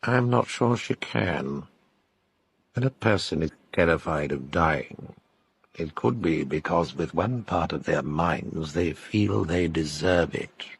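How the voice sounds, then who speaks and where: An actor playing an urbane, cynical literary critic in an audio drama, speaking in a dry, articulate, knowing way. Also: male voice, a bass voice, lively intonation, slow, creaky, breathy edge, full character voice. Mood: grave, knowing. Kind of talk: naturalistic audio drama dialogue.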